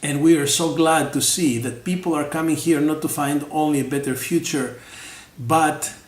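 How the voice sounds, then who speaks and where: A male missionary giving a measured ministry report, with emphatic stresses. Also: on the high side, steady tone. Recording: echoey room.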